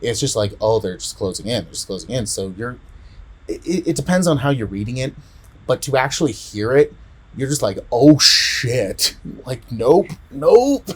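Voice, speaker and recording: A man in casual podcast chat, retelling a story in a rambling, halting way and often repeating words. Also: mid-range voice, sing-song, husky. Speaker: podcast co-host.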